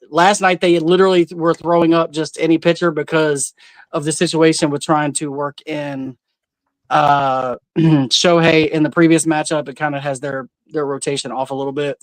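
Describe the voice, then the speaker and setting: Laid-back sports betting co-host, higher voice. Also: male voice, level tone, moderate pace, dry recording. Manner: laid-back, explains picks with stats. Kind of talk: casual betting picks talk.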